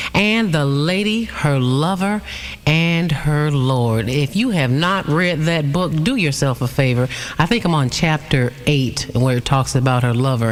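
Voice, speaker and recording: A woman hosting a gospel radio show, chatting warmly with callers and reading announcements. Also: sits low. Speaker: radio host. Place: radio studio.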